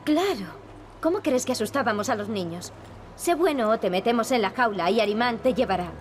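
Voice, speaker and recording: An actress with an accent from Spain playing a determined, earnest young princess with dry wit for a video game. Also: female voice, on the high side, expressive, a little fast, a little hiss or hum, close to an everyday voice. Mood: dry, teasing. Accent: Spanish from Spain. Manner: earnest, resolute. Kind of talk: dubbed adventure game dialogue. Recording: music behind the voice.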